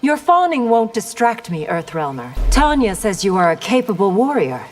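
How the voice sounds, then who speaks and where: An actress voicing a proud, disciplined army commander in a fighting video game, haughty, cool and formal. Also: female voice, mid-range voice, big pitch swings, moderate pace, long reverb tail, slight background noise, close to an everyday voice. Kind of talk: video game character acting.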